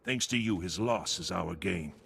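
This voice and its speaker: An actor playing an urgent, secretive undercover agent in a video game, giving precise briefings in a gravelly voice. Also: male voice, low-pitched, normal speed, fairly bright tone, exaggerated voice. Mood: earnest, approving. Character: male Republic intelligence agent undercover, urgent, secretive, earnest.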